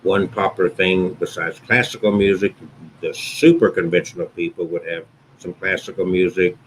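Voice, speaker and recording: A man who works as a wedding officiant, talking through the usual order of a ceremony in an unhurried, conversational way, often restating his points. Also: steady tone, a little hiss or hum, poor audio. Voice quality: booming voice. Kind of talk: instructional talk to camera.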